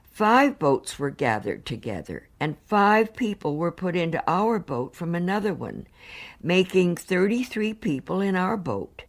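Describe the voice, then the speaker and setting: Casting: composed woman survivor testifying in a docudrama, lowish voice, animated intonation, formal and precise. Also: exaggerated voice. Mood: composed, earnest. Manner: measured, formal, precise recounting. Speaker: actor reading witness testimony. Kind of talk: dramatised reading of hearing testimony.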